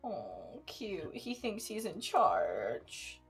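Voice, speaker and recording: A woman banters as a player in a tabletop game. Her voice is husky and animated, delivered slowly over background music. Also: mid-range voice. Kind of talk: casual banter. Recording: music playing behind the voice.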